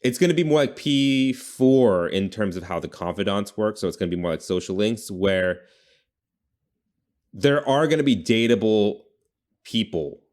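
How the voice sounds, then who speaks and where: A man's voice: lowish voice, animated, moderate pace, a little mellow. A man hosting a podcast, chatting casually and informally about video games in a rambling way. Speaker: podcast host.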